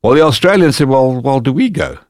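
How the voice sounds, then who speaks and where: A man from Zimbabwe with a Zimbabwean accent, a former bodyguard telling stories as a podcast guest in casual, animated chat. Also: mid-range voice, fairly quick, no echo, studio quality. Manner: animated anecdotes, lively quoted dialogue. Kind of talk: casual storytelling.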